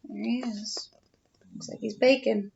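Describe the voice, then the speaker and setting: A woman's voice: fairly high pitch, fairly even intonation, unhurried, vocal fry, background noise. A woman, a mother, chatting casually and playfully as she reads a children's storybook aloud. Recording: dull home recording.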